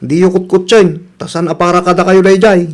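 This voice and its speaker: A man's voice: high-pitched, level tone, normal speed, darkish tone, natural voice. A man playing a young, self-interested live-in boyfriend in a radio drama, with an Ilocano accent. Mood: curt.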